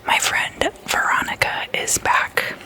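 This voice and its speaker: Casting: ASMR host, whispered voice, slow pace, chatty and intimate sleepover style. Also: sharp tone. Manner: intimate, addressing the listener as a guest. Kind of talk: whispered ASMR, casual chatty slumber-party role-play.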